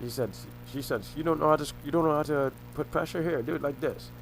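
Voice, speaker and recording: A young man talking to the camera in a rambling monologue, often halting, repeating himself and restarting phrases. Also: fairly high pitch, a little hiss or hum, poor audio. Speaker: vlogger.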